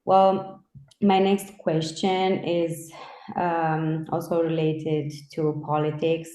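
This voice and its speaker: Earnest woman, low voice. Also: flat delivery, slow, mellow. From Romania. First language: Romanian. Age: 30s. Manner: earnest, reflective. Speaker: interviewer. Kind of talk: conversational interview. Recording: roomy echo.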